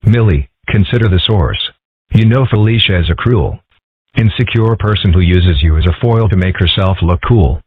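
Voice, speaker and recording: A man voicing a calm, dry-witted, philosophical furry friend in a scripted comic-strip dub. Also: low voice, moderate pace, breathy edge, dry recording. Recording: muffled, phone-like narrow band.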